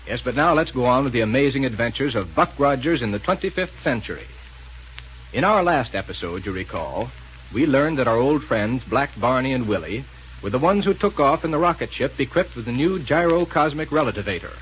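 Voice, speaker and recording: A male announcer from 1930s radio, delivering scripted copy in a mid-pitched voice. The old recording carries faint noise. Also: lively intonation, brisk, a bit bright, low-quality recording.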